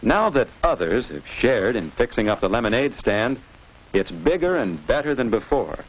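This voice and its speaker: A man's voice: mid-range voice, very animated, normal speed. A man reading scripted narration for a children's instructional film in a didactic way, posing questions and answering them. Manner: didactic, asking and answering his own questions. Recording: noisy old educational film soundtrack.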